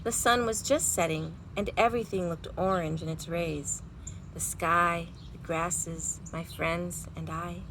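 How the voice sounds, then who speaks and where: A woman reading a children's storybook aloud in an expressive, animated way with dramatic pauses. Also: lowish voice. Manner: animated, dramatic pauses, chanting sound words. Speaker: librarian reading picture books at storytime. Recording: noisy home recording.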